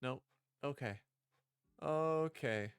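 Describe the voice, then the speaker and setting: A man casually streaming as he works on a game script, reading lines aloud and rewording them in a halting way. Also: mid-range voice, clear, resonant tone, no echo, studio quality. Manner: halting, thinking out loud, repeating and rewording lines. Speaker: streamer developing own visual novel.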